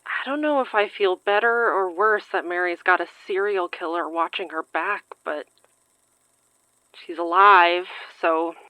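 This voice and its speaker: A woman's voice: fairly low pitch, unhurried, sharp tone, no echo, poor audio. A woman playing a worried, loyal friend in a naturalistic audio drama. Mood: worried, pleading.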